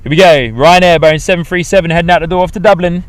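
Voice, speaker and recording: A man's voice: fairly high pitch, fast. A man from the UK with a mixed English and New Zealand accent, hosting a chatty live plane-spotting stream outdoors by an airport. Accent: mix of English and New Zealand. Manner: upbeat, chatty, talks to viewers. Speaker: livestream host.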